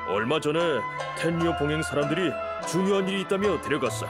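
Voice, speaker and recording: Man voicing an easygoing, high-pitched sailor. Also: lively intonation, fast talker, lightly acted. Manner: casual, conversational. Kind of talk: video game voice acting. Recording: loud music over the voice.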